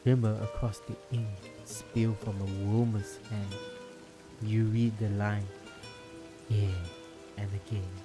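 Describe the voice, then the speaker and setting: A man's voice: on the low side, little pitch movement, takes it slowly, pauses often. A man hosting a casual game livestream, reading story text aloud dramatically and commenting informally with mild swearing. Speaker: game streamer and host. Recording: music behind the voice.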